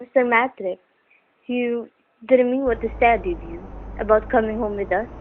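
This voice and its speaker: Tinny old radio sound with faint noise frames an actress as an anxious young mother. Her highish voice is earnest and pleading, fiercely protective in melodrama style. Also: moderate pace, close to an everyday voice. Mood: fearful, pleading. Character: anxious, protective young wife and mother, defiant when pushed. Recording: tinny vintage radio recording, background noise.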